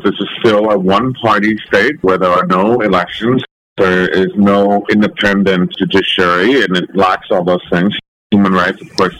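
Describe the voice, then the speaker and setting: Male interview guest from the United States, low voice, dry close sound, explains things carefully and articulately. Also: fairly bright tone, no room sound, poor audio. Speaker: interview guest, documentary photographer. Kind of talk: thoughtful interview answers.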